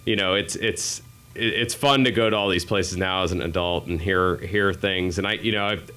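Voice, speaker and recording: Interview guest: man, very low and clear voice, from New England, easygoing rambling storyteller. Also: normal speed, sharp tone. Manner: rambling, self-deprecating, frequent fillers and restarts. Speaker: podcast guest, healthcare digital strategist.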